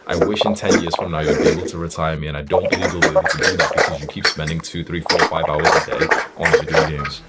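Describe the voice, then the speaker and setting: A man's voice: rumbling low, fairly even intonation, fast, few pauses, over loud music. A young man giving a blunt, stern motivational talk as a self-improvement coach, emphatic and swearing freely.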